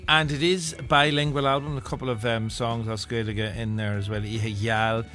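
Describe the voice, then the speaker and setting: A man's voice: mid-range voice, fairly even intonation, normal speed, pushed and bright, faint music behind. A man hosting a live radio arts show, steering a review panel by putting questions to each guest. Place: radio studio.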